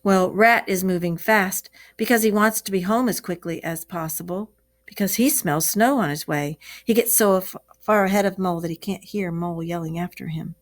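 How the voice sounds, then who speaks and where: A woman's voice: fairly low pitch, moderate pace. A woman teacher reading a story aloud to children and explaining it expressively, asking the class questions. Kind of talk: read-aloud lesson for children.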